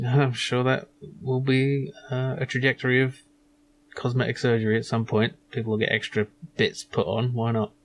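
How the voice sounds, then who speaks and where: A man's voice: mid-range voice, monotone, normal speed, slight background noise. A man hosting a let's play, giving casual, musing commentary as he goes through an adventure game. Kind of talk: casual gameplay commentary, reading game dialogue aloud.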